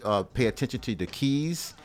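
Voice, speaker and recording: A man's voice: medium pitch, expressive, moderate pace. A man hosting a casual group chat, talking in a laid-back way with many restarts and repeated words. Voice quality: dry voice. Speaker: show host.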